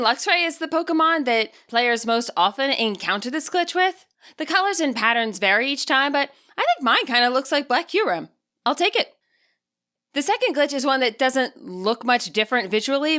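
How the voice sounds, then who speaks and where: Bright, high-pitched woman narrating a story. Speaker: author and audiobook narrator. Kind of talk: expressive scripted narration.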